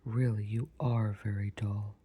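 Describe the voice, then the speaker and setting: A storyteller voicing a sorrowful, touchy male mock turtle in a deep, hollow tone, in a low whisper. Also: flat delivery, measured pace, warm tone, breathy edge. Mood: sulky.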